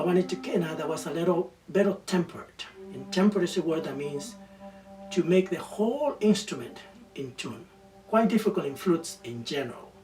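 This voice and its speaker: A man whose native language is Spanish, a quena player explaining eagerly in a documentary and often restarting words. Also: on the high side. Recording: distant mic with loud music behind.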